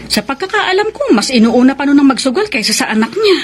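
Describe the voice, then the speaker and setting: Motherly Filipino babysitter, lively intonation. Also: high voice, quick pace, slight background noise. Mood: caring, firm. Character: caring motherly neighbor minding a baby, firm. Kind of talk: Filipino radio drama acting.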